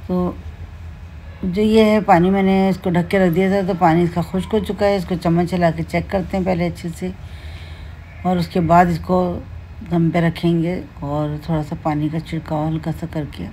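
Warm, low-voiced Pakistani home cook. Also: female voice, flat delivery, a little hiss or hum, low-quality recording. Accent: Pakistani Urdu. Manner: unhurried, homely explaining with many fillers. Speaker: cooking channel host. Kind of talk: home cooking tutorial voice-over. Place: home kitchen.

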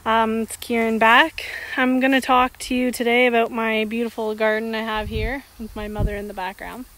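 A woman's voice: on the high side, normal speed, poor audio. A woman in her thirties giving a casual, chatty tour of her vegetable garden, with lots of ums, outdoors on a phone held at arm's length. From Canada. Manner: relaxed and chatty, with many ums. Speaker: homesteader vlogger.

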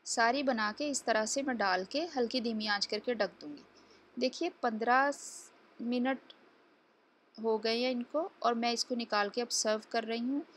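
A woman's voice: a little high, normal speed, a bit bright. Chatty woman explaining a home recipe. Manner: chatty, instructive, addresses viewers directly. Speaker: cooking channel host. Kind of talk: home cooking tutorial voice-over. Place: home kitchen.

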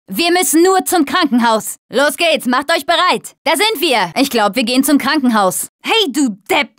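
A woman's voice: high voice, no echo, clean studio sound. An actress voicing a tough, wry young female survivor of a zombie apocalypse in short video game callouts.

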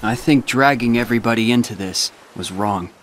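A man's voice: mid-range voice, moderate pace, slight background noise, natural voice. A young man playing a brooding, self-sacrificing teenage boy warrior in a game cutscene, grave and restrained. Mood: somber, earnest. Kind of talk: video game cutscene acting.

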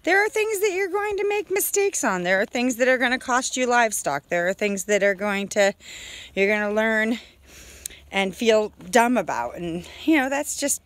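A woman in her forties giving a casual, halting homestead video diary outdoors, talking into a phone at arm's length. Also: fairly high pitch, expressive, fairly bright tone, no room sound. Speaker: homesteader vlogger. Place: outdoors on a farm. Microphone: phone held at arm's length.

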